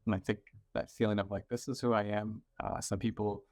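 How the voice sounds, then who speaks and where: A man's voice: on the low side, flat delivery, fairly quick, a little mellow. A man in a reflective conversation, speaking thoughtfully and a little hesitantly. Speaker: conversation partner.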